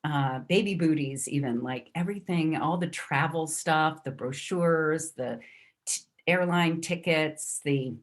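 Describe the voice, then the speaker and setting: Low slow-talking middle-aged lady guesting on a podcast, lots of ums, remote call. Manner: unhurried, many "um"s and restarts, laughs easily. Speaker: guest speaker. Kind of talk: casual podcast conversation.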